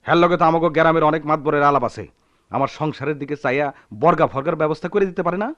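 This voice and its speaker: An actor playing a proud, hot-tempered poor village husband in a Bengali radio drama, with a rural Bangladeshi accent and a theatrical, defiant manner. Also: male voice, fairly high pitch, very fast, a bit bright, dull audio, close to an everyday voice. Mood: angry, defiant.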